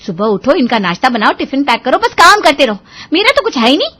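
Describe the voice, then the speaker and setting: A woman's voice: extremely high pitch, brisk, pushed and bright, voice pushed up. A woman voicing an angry, demanding young wife who scolds and complains in a dramatised audio story. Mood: nagging, angry.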